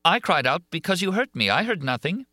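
A man voicing a frightened young woman who pleads in a high voice. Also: sing-song, brisk, pushed and bright, dry recording, clean studio sound, exaggerated voice. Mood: frightened, pleading.

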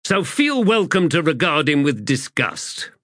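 A man's voice: a little high, sing-song, unhurried, pushed and bright, dry recording, clean studio sound, pitched above the performer's own voice, exaggerated voice. A male narrator voicing a crude, sardonic marine sergeant who talks in coarse soldier slang.

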